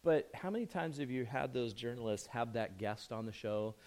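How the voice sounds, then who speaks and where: A hoarse man fighting off a chest cold gives a casual sermon from the pulpit. His voice sits at an ordinary middle pitch. He rambles, restarts his sentences and pokes fun at himself between points. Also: lively intonation, moderate pace, darkish tone. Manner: chatty, self-joking, rambling with restarts. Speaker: pastor. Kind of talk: conversational sermon.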